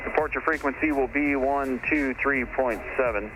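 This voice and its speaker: A man's voice: mid-range voice, moderate pace, low-quality recording. A man working as an air traffic controller, giving clipped procedural instructions over aviation radio. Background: music.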